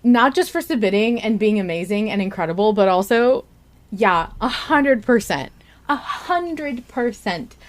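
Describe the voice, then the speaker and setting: A woman hosting a fan podcast, chatting excitedly in an emphatic, gushing, halting way. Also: mid-range voice. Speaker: podcast host.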